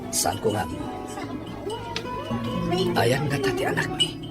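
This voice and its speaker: A man's voice: takes it slowly, loud music behind the voice, close to an everyday voice. A man playing a brooding, regretful boss with a subdued delivery in an audio drama. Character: brooding wealthy boss searching for his lost child. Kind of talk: Ilocano audio drama dialogue.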